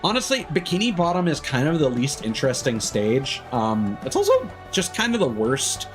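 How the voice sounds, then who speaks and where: A man's voice: a little high, huge pitch swings, moderate pace. Rambling male game streamer over music. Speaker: game livestreamer. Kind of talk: casual livestream commentary.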